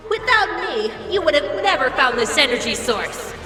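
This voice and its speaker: A woman voicing a young female hedgehog possessed by dark energy, squeaky and theatrical in an audiobook drama. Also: very animated, heavily acted. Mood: gloating. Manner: theatrical, drawn-out gloating. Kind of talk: fan audiobook voice acting. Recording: echoey hall reverb, music underneath.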